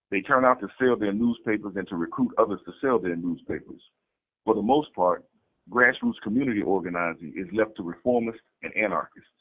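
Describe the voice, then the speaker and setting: Low, husky man on a phone-like line. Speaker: political activist reading a party strategy statement. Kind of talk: scripted reading of a political manifesto. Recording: tinny, phone-like narrow-band audio.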